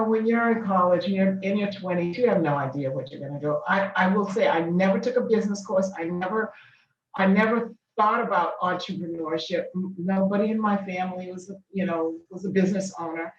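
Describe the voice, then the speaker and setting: An older woman from Boston being interviewed about her career, speaking thoughtfully with many pauses and restarts. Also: medium pitch. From Boston, Massachusetts. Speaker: guest, business founder. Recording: distant, dull, echoey room with faint noise.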